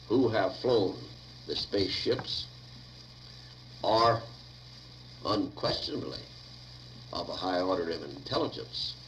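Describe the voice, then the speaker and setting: A man dictating lecture notes aloud in a deliberate, formal way, recorded on 1950 reel-to-reel tape. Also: deep voice, a little hiss or hum, low-quality recording.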